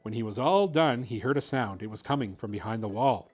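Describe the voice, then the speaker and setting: A man's voice: medium pitch, animated, brisk, a little mellow, slightly rough, soft background music. A man hosting a story time, narrating a children's picture book expressively. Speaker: host reading children's picture books.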